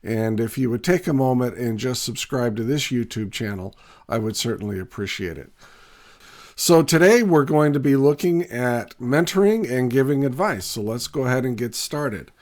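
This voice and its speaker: Raspy man recovering from a cold. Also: mid-range voice, expressive, moderate pace, darkish tone. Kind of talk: scripted teaching talk to camera.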